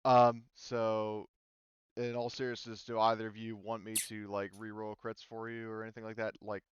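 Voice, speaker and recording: A man's voice: fairly low pitch, completely flat delivery, moderate pace, dull audio, slight background noise. A man acting as game master, talking a group through questions casually in hesitant, stop-and-start phrases. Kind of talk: casual explanatory group discussion before a tabletop game. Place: online voice call.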